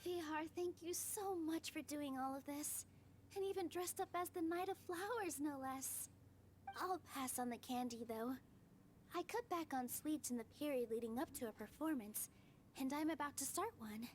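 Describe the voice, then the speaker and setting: A woman plays a young female dancer from a video game with a high, sweet voice. She is gentle, polite and sincere, with quiet determination. Faint background sound sits behind her. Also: moderate pace, slight background noise, lightly acted. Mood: grateful.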